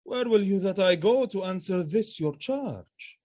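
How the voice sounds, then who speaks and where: An actor plays a self-assured general in a Shakespearean audio drama. His voice sits fairly high for a man and moves widely in pitch, with a stately, declamatory air of command. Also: expressive, unhurried, warm tone. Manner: stately, declamatory theatrical delivery.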